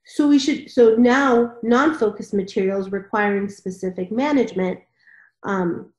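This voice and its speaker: A woman's voice: medium pitch, a little slow, darkish tone. A woman hosting a discussion, reading formal requirements aloud and moderating. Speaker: discussion host. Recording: roomy, dull lo-fi mic.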